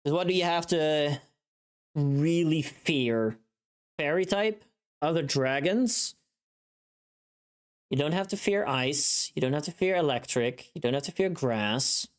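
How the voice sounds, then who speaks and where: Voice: mid-range voice, unhurried, pauses often, smooth. Casual livestreamer thinking aloud, mid-range voice. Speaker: live streamer.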